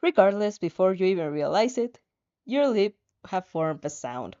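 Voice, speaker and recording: A woman's voice: mid-range voice, big pitch swings, moderate pace, warm tone, highs cut off. A woman casually reading a story aloud and reacting as she goes, giggly and prone to stumbling over the words. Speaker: host reading and reacting to fan fiction.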